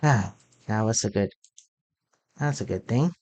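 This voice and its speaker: A gaming content creator giving casual let's play commentary in a halting, rambling way. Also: mid-range voice, darkish tone.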